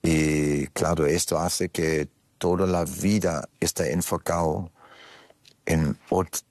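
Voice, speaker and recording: A man's voice: very deep voice, fairly even intonation, slow pace, darkish tone, no echo, studio quality. A man whose first language is German, a choreographer answering interview questions in an emphatic way, repeating phrases and restarting often.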